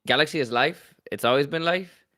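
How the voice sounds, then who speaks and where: A man's voice: a little high, fairly quick, bright, forceful tone, no room sound, clean studio sound. A man with a Southern California accent in casual, blunt, slangy fan banter about sports, swearing freely. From Carson, Los Angeles area, USA. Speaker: sports show co-host.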